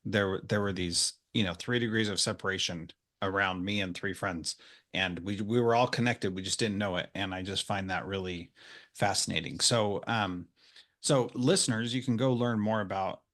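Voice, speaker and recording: Man, podcast host, lowish voice, casual interview chat, friendly, chatty. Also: moderate pace, a bit bright. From United States. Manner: friendly, chatty, with many fillers.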